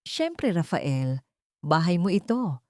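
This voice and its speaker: A woman voicing a caring, understanding housemaid in an audiobook drama, calm, measured and gently firm. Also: lowish voice, dry recording, studio quality. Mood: gentle.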